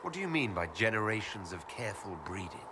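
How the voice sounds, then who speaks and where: A man voicing a reserved, inquisitive leader in a video game, asking questions in a measured, courteous way. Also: lowish voice, very animated, noisy background. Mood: curious. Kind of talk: video game character dialogue.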